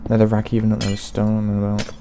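A young man casually talking through a video game, mumbling to himself in an unhurried way, his throat bothering him. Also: fairly low pitch, completely flat delivery, noisy background. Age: young adult. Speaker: gaming channel host. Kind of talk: casual let's play commentary.

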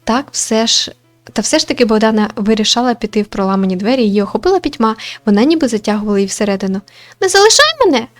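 A woman reading a visual novel aloud as host, with expressive storytelling and casual commentary. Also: mid-range voice, very fast. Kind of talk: narrated read-through with casual commentary. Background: game music.

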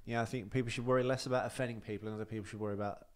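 A man's voice: fairly low pitch, steady tone, racing. A man, chatting casually as a comedian co-hosting a podcast. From England. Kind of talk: casual bantering podcast chat. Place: small indoor garage-style podcast studio. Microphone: close microphone on boom arm.

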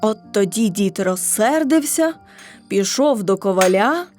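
A woman narrating a scripted folk tale for children, with expressive, measured delivery. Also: mid-range voice, faint music behind. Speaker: storyteller.

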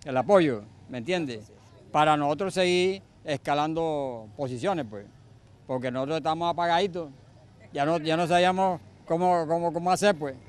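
A man's voice: a little high, moderate pace, clean tone. An older Venezuelan man being interviewed outdoors on a street, speaking plainly and chatty into a handheld mic. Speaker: interviewee, community volunteer.

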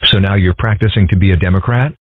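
Casting: man as sensible cartoon fox lad, dry delivery, low husky voice, phone-like sound. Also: fast, no room sound. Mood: skeptical. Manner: dry, deadpan. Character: sensible, deadpan young fox boy. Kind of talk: comic strip dub. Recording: muffled narrow phone-like sound.

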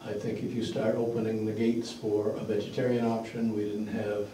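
A man's voice: fairly low pitch, little pitch movement, normal speed, mellow, a little hiss or hum. A man speaking in a blunt, matter-of-fact way at a public meeting, picked up by a distant mic in an echoey room. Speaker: fundraiser organizer speaking at a town meeting. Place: mid-sized indoor meeting room. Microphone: far room microphone.

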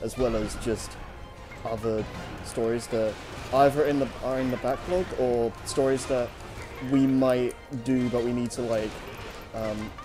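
A man co-hosting a show, chatting casually and unscripted in a hesitant, halting way. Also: mid-range voice, fairly even intonation, over music. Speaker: co-host of a story-reading show. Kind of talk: casual unscripted chat over gameplay.

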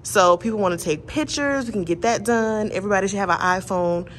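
A woman's voice: medium pitch, brisk, a little hiss or hum. A woman in her thirties chatting casually to viewers as a vlogger, bubbly and chatty, filming herself on a phone at arm's length in an office turned party venue. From Atlanta, United States. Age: 30s. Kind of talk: casual vlog chat to camera. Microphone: phone held at arm's length.

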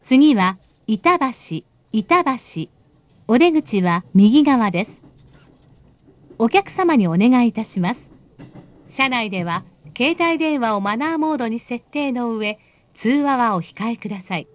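A woman giving calm, polite recorded announcements for a train. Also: on the high side, slow pace. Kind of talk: recorded on-board station announcements. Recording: dull recording from inside a train.

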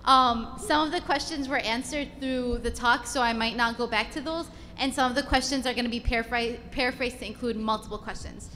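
A young woman moderates an event informally. Her voice is fairly high and comes through a podium microphone in a reverberant hall, with faint room noise behind her. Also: fairly even intonation, brisk, few pauses, pushed and bright. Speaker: session moderator. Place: large convention meeting room.

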